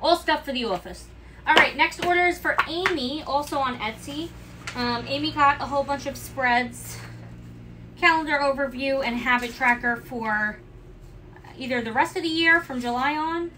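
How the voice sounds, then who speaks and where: Woman, Bronx accent, casual livestream chat, slightly high voice, noisy room with clicks. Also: moderate pace. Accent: Italian-American Bronx. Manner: chatty, reads out orders and comments. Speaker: livestream co-host packing orders. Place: small business office.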